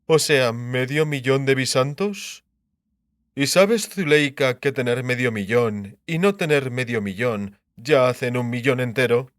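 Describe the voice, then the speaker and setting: A man's voice: mid-range voice, dry recording, studio quality, natural voice. A man voicing a shrewd, grumbling king and sardonic husband in an audiobook, musing aloud. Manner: musing aloud, muttering, drawn-out syllables. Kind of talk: dramatised audiobook character acting.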